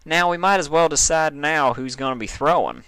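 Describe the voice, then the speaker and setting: A man's voice: a little high, moderate pace, fairly bright tone, clear, resonant tone, dry recording, pitched above the performer's own voice, close to an everyday voice. A man voicing a practical, self-assured female farm pony in a story reading, explaining things with huffy patience. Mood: matter-of-fact. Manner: explanatory, huffy, matter-of-fact.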